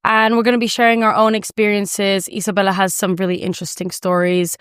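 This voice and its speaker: Chatty podcast host lady, smooth clean voice, dry mic. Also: medium pitch, flat delivery, fairly quick, a bit bright, no room sound. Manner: chatty, friendly, fillers and restarts. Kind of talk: casual conversational interview.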